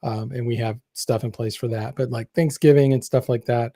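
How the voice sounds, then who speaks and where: A man hosting a live podcast over a video call, relaxed and loose, with frequent fillers and short laughs. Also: mid-range voice, moderate pace, no echo, clean studio sound. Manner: relaxed, with many fillers and chuckles. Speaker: podcast host.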